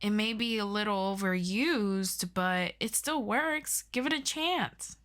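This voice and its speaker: A woman playing an earnest, excitable superhero best friend in an ASMR audio roleplay, chatty and expressive as she tutors a listener. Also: medium pitch, slow. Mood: encouraging, playful. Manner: chatty and expressive, with interjections and sighs. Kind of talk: ASMR audio roleplay, scripted one-sided conversation.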